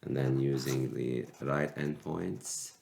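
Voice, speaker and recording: A man's voice: rumbling low, vocal fry, a little mellow. A man with a cold teaching a recorded calculus lecture, explaining step by step with frequent restarts. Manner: slow, halting explanation with restarts. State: sick with a cold. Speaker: math teacher.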